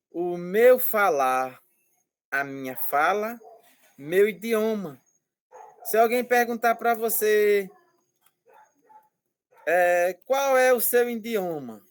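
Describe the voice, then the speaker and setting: A male language teacher explaining slowly and patiently over a video call, repeating words and checking that students follow. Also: sits high. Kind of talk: online class explanation.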